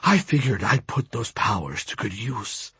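A man voicing a menacing, gloating serpent god of death, taunting and deliberate in manner. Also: lowish voice, animated, pushed and bright, husky, no room sound, pitched below the performer's own voice, heavily acted.

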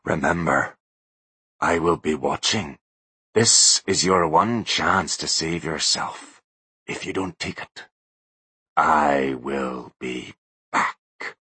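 A man voicing a hulking older bodyguard who plays a ghost, speaking low, hushed and very slow. The tone is breathy and menacing. It sounds like a close, dry storybook reading. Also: dry recording, heavily acted. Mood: stern. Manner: slow, quiet, ominous.

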